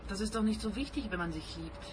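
Actress for wounded young woman, radio crime drama. Earnest, subdued confiding over clearly audible background noise. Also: medium pitch, expressive, fast, lightly acted. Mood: sad, earnest. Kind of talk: radio crime comedy acting.

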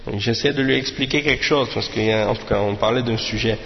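A man of average pitch gives an informal religious lesson, speaking hesitantly and often stopping to restart a sentence. The recording is dull and lo-fi, with a long reverb that makes the room sound large and echoey. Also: fairly quick, creaky, a little hiss or hum. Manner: hesitant, with many restarts and Arabic fillers. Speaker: religious teacher.

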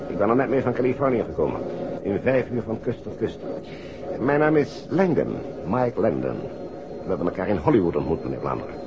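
An actor playing a courteous, sociable airline passenger in a 1960s radio drama. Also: male voice, lowish voice, sing-song, a little fast. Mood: sociable, polite. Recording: dull old recording, clear background noise. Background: noisy aircraft cabin ambience.

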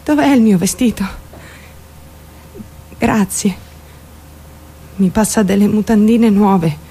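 An actress playing a naive, lovestruck and traumatized young woman in an intimate, halting radio drama monologue. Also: mid-range voice, dark tone, a little hiss or hum, natural voice. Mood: numb, fragile. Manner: intimate, halting, childlike self-questioning. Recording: music behind the voice. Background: music.

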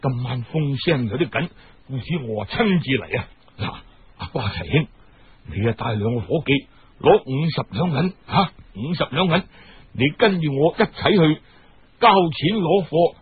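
A man doing a hushed, shady Cantonese go-between. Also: on the high side, lively intonation, normal speed, slightly rough, no room sound, pitched below the performer's own voice. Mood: hushed, urgent. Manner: hushed, secretive, insistent. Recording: muffled, band-limited radio-style recording.

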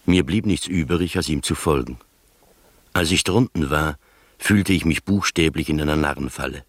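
Doubting gentleman telling an uncanny tale, radio play, really deep voice, measured storytelling, no room sound. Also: dry recording. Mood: measured, suspenseful. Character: skeptical gentleman narrator recounting an uncanny adventure. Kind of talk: scripted radio drama narration.

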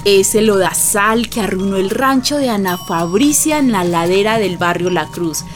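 An actress with a Colombian accent playing a folksy neighbour who narrates barrio memories in a radio drama, colloquial and unhurried. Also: female voice, mid-range voice, lively intonation, faint music behind, close to an everyday voice. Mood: grave.

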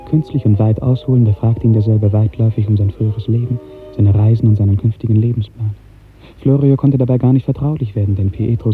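A man narrating a literary 1950s radio drama in a measured, precise storytelling manner. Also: medium pitch. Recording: narrow-band 1950s radio recording, faint music.

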